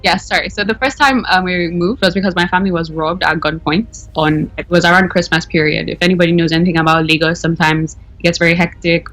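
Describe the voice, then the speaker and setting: A woman's voice: sits low, a bit bright, rough recording. Chatty young woman, faint music. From Nigeria. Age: young adult. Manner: chatty, many fillers and self-corrections. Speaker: anonymous caller. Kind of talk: casual interview.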